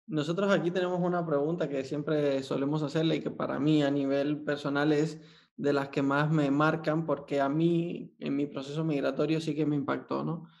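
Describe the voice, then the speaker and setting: A man's voice: on the high side, flat delivery, moderate pace, gravelly, a little mellow. A man hosting a conversational interview podcast, asking questions in an informal way, on slightly muffled audio.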